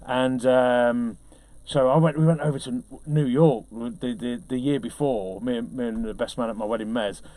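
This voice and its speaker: A man's voice: mid-range voice, steady tone, moderate pace, few pauses, mellow. A man being interviewed as a DJ, telling casual anecdotes in a chatty, self-deprecating way.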